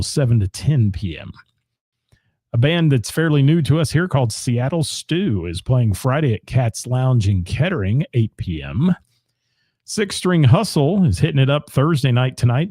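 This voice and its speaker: A man's voice: mid-range voice, mellow, dry recording, studio quality. A man, hosting a live show and rattling off local gig listings with asides to the chat. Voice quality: gravelly voice. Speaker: radio host.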